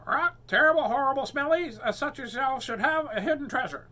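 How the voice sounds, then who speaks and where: A man doing a shrill, squawking pet parrot. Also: animated, fairly quick, pushed and bright, heavily acted. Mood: eager. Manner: repetitive, pestering.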